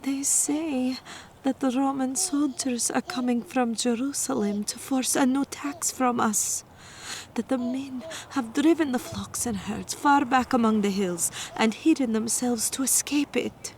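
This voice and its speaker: Slow-speaking, gentle village mother. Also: sits high, a little hiss or hum. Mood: foreboding, hushed. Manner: formal, hesitant, grave. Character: wary, gentle young village mother in ancient Bethlehem. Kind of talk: audio drama acting.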